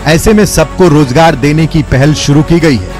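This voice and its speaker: A man's voice: on the high side, normal speed. A man reading scripted news narration as a voice-over. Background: faint music bed.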